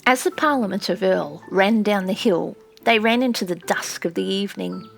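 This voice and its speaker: A woman's voice: mid-range voice, moderate pace, vocal fry, soft background music. A woman narrating an audiobook in a scripted, literary storytelling style.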